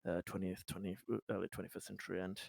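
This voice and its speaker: A man's voice: sits low, completely flat delivery, rapid-fire, creaky, a little mellow, dry recording, clean studio sound, exaggerated voice. Man playing a stammering, eager political schemer. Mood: chatty, hesitant. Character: eager, scheming male political helper, fumbling and deferential.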